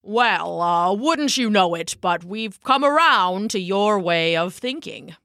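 A woman's voice: mid-range voice, lively intonation, slow, bright, forceful tone, dry recording, clean studio sound, voice pushed down, full character voice. A woman voicing a grumpy, bulky old male bullfrog in a storybook, with a blustering, pleading manner. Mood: sheepish, mumbling.

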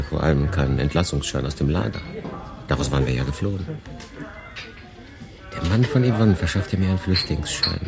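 A male actor playing a world-weary refugee in 1940s France, confiding his story in a dramatic monologue with deliberate pauses. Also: deep voice. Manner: conversational, deliberate pauses, shifting between emphatic and hushed. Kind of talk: dramatic first-person monologue, literary audio drama.

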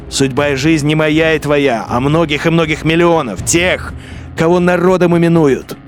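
A man's voice: on the high side, close to an everyday voice. An actor playing a Russian collaborator officer who justifies his treason in a radio play inner monologue, brooding and self-justifying, swinging between murmurs and outbursts.

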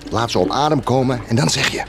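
A man's voice: mid-range voice, fast talker, natural voice. An actor playing a bitter, commanding, sardonic man in a Dutch radio play. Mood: urgent, scheming. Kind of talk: Dutch radio play acting, live broadcast.

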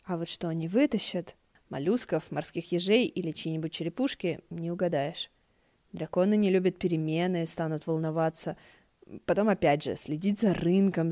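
A woman's voice: fairly low pitch, dark tone. A woman narrating a story as an audiobook reader. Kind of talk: narrating fiction, acting out dialogue.

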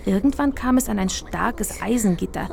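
A woman's voice: mid-range voice, normal speed, gravelly, a little mellow, a little hiss or hum. A woman narrating a children's radio play in a scripted storybook style.

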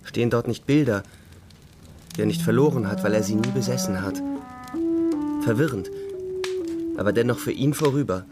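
An actor playing a young soldier who narrates a war radio play in terse, measured, reflective short sentences. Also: male voice, medium pitch, lightly acted. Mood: tender, sombre. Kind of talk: literary radio play narration, first person present tense. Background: music.

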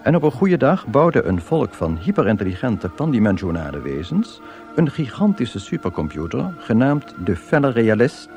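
An actor playing a wry narrator of an absurd science-fiction radio comedy, telling it in a measured deadpan. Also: male voice, mid-range voice. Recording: radio play with music behind.

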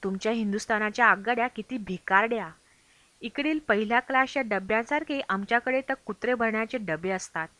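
A woman's voice: a little high, a little fast, fairly bright tone, no echo, close to an everyday voice. A woman audiobook reader voicing an opinionated, boastful American male traveller who disparages what he has seen. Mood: boastful, scornful.

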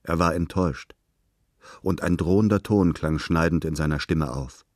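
A man with a deep voice narrates literary fiction from a script. The recording is very dry, and he delivers it as a storyteller reading prose. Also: moderate pace, darkish tone, no echo.

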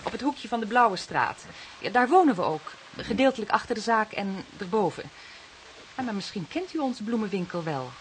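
An actress with a Dutch accent playing an anxious young woman in a radio play, speaking haltingly and repeating her words. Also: mid-range voice, sing-song, a little hiss or hum, lightly acted. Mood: earnest, flustered. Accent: Dutch, from the Netherlands. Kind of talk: radio drama acting.

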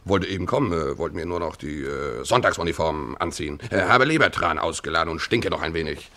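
An actor in a German radio play voicing a gruff, hard-drinking sea captain who blusters and dodges marriage. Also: male voice, rumbling low, animated, a little fast, sharp tone, full character voice. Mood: evasive. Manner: blustering, nautical swagger with muttered asides. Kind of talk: German radio play acting, narrating and dialogue.

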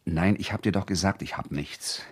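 Ein Schauspieler spielt in einem Krimi-Hörspiel einen verängstigten, ausweichenden Mann, der stockend und abwehrend spricht. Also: male voice, low voice, slightly rough. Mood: evasive, tense. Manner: edgy, halting, defensive. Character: frightened, evasive man receiving menacing phone calls. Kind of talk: German radio crime play acting.

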